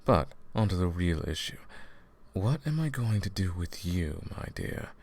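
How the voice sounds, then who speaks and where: A man playing a smug, scheming royal advisor and sorcerer in a fantasy audio roleplay, silky and condescending, with a gravelly voice. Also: low-pitched, big pitch swings, a little mellow, heavily acted. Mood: calmly threatening. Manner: silky, condescending, theatrical, drawn-out menacing phrasing. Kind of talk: fantasy audio roleplay, intimate villain monologue.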